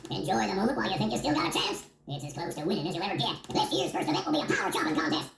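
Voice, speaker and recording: A bullying bison lumberjack boss from a cartoon video game. He brags and taunts in a folksy frontier drawl, with wide swings in pitch and a roomy echo around him. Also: wildly swooping pitch, very fast, gravelly, a crowd in the background, heavily acted. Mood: boastful.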